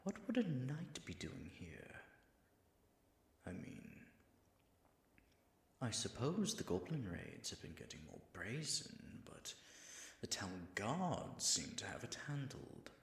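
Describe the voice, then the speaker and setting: A man's voice: on the low side, huge pitch swings, takes it slowly, gravelly, long pauses, fairly bright tone, echoey room, heavily acted. A man acting as an all-powerful, self-conscious dragon in disguise, muttering dry, sardonic asides to himself in a scripted audio roleplay. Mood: puzzled, wary.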